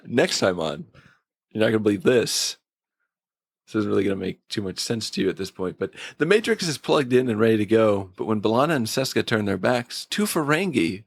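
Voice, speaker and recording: Creaky-voiced man in deadpan casual podcast chat. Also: mid-range voice, no echo, studio quality. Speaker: podcast guest.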